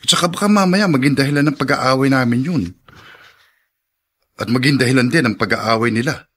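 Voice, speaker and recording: An actor playing a troubled, evasive young husband in a radio drama, reticent and guarded. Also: male voice, mid-range voice, a little fast, a bit bright, slightly rough. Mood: troubled, hesitant.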